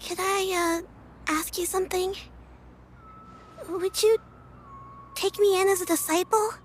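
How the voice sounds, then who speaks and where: A woman's voice: very high-pitched, fairly even intonation, takes it slowly, slight background noise, rough recording. A woman playing an earnest, polite, shy young girl in a video game, speaking hesitantly. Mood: shy, subdued.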